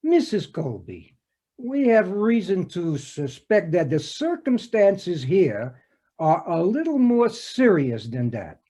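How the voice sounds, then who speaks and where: A man reads a patient police detective who stops often to hesitate. His voice is mellow and unhurried, with a lively rise and fall in pitch. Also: heavily acted. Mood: probing. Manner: halting, full of "uh" fillers. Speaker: reader in an amateur readers theater performance. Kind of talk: comic readers theater scene read over a video call. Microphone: home video call mic.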